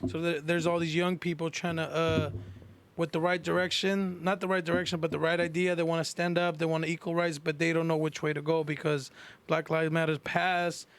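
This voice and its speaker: Casual male podcast co-host, slightly high voice with heavy fry, slangy and laid-back. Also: flat delivery, fairly quick, slight background noise. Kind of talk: casual chat.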